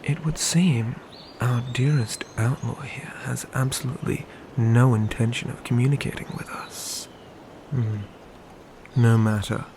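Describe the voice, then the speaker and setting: Slow, sneering male knight, acted by a man. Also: medium pitch, a little hiss or hum, lightly acted. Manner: slow, sneering, formal speech, hushed at phrase ends. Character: sadistic, haughty medieval male knight, a twin brother. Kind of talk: dark fantasy ASMR roleplay acting.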